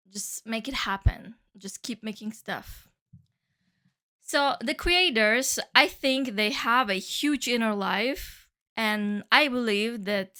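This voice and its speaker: A young woman from Northern Cyprus, a native Turkish speaker, musing softly about how she started creating. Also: a little high, smooth. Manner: soft, musing, many fillers. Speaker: musician sharing her story. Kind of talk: reflective personal monologue.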